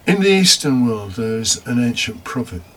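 A man's voice: mid-range voice, sing-song, normal speed, low-quality recording. A man preaching a homily as a deacon, reflective, partly scripted with informal asides and rhetorical questions. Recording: faint background noise.

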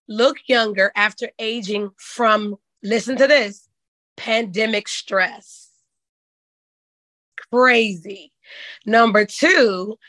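Hoarse woman hosting a chat show. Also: medium pitch, a bit bright, dry recording. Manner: folksy and candid, says "child". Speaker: podcast host. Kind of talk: casual chat.

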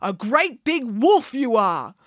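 A woman reading a storybook voices a bold young piglet girl in a high, bossy, lecturing voice. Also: very animated, slow, dry recording, studio quality.

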